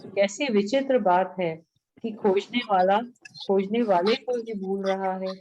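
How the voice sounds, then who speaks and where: A woman reads a spiritual passage aloud in an unhurried, measured way, then explains it to her class. Her voice is dark and mellow. It comes through a muffled, lo-fi online call. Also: medium pitch, a little hiss or hum. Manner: slow, measured delivery. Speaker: class reader. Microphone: video call. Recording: dull lo-fi online call audio.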